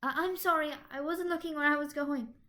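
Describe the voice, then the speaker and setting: A woman's voice: high-pitched, steady tone, fairly quick, bright, forceful tone, pitched above the performer's own voice. A woman voicing a shy, nervous, sweet teenage girl who is easily flustered, in an audio fan story. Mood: flustered apology.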